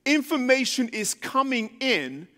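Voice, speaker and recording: A man's voice: extremely high pitch, sing-song, unhurried, pushed and bright. A man from London with a London English accent, preaching as a guest speaker on a church stage in a conversational, self-deprecating way with frequent ums. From London, England. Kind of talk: sermon. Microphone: handheld microphone. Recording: long reverb in a church hall.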